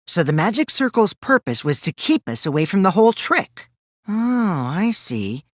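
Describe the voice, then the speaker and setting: A man's voice: high voice, sing-song, moderate pace, no room sound, studio quality. A man voicing a mischievous, taunting teenage boy who lies with glee, in theatrical anime video game style. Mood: calm, reasoning. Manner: theatrical, swinging from gleeful mockery to cold remarks.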